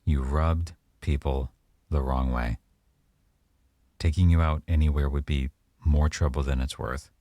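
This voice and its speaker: A man playing a teasing, coy young mafia boyfriend with veiled menace, in an unhurried, intimate ASMR roleplay. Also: rumbling low, steady tone, warm tone, no room sound. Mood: quietly threatening.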